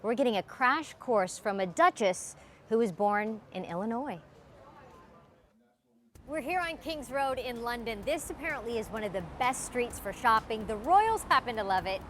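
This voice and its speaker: A woman's voice: a little high, expressive, normal speed, a little hiss or hum. Chatty woman TV reporter. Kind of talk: upbeat live news feature.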